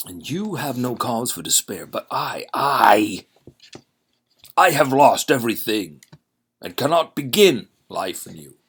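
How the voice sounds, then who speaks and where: A broken, despairing stranger, as a male reader puts him on speaks in a theatrical Dracula-style accent, his voice pitched well above the reader's own and often pushed loud. The delivery is impassioned and faltering, with a gravelly, husky edge. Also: big pitch swings, a little slow, heavily acted. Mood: sorrowful.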